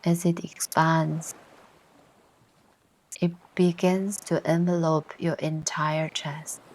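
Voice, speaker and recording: Woman, meditation guide, soothing, low pitch, flat intonation, unhurried pauses, faint ocean waves behind. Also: a little hiss or hum, rough recording. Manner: soothing, gentle, unhurried instruction with long pauses. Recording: ocean surf sounds behind the voice. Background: ocean waves ambience.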